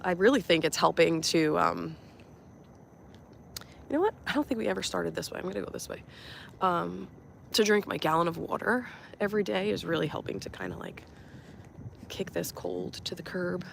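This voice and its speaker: Gravelly, nasal woman chatting on a walk. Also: on the low side, normal speed, long pauses, slight background noise. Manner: relaxed reflective chat with fillers. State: nasal from a cold. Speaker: vlogger and life coach. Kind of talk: casual motivational live stream. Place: outdoors on a walk.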